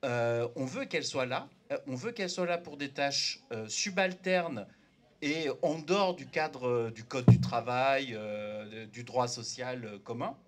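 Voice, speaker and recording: A man's voice: medium pitch, animated, measured pace. Hesitant man, native Turkish speaker. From Turkey. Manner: hesitant, lots of fillers and restarts. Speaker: audience member speaking up. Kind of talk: spontaneous debate remark.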